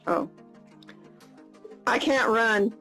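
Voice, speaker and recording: Woman, 50s, American, chatty live-chat host, slightly high voice, faint music behind. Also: a bit bright. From United States. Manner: upbeat, repeats questions slowly and plainly. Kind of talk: casual video chat.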